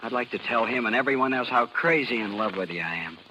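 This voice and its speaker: Lovesick farm boy, American, earnest, naive, lively swinging pitch, very hissy 1930s radio recording. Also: fast talker, poor audio. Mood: ardent. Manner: boyish, ungrammatical, ardent. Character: earnest lovesick country youth, naive carnival barker's son.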